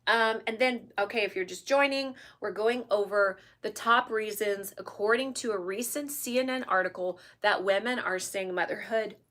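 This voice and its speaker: A woman's voice: medium pitch, normal speed, hardly any pauses, a bit bright. A woman from the United States hosting her channel, giving emphatic opinion commentary to camera and often checking in with tag questions. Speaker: channel host.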